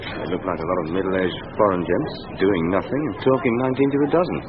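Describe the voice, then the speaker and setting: An actor playing a cheeky, sceptical police inspector sidekick in a radio drama, colloquial and wry. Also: male voice, low voice, expressive, quick pace. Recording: dull, noisy radio drama recording.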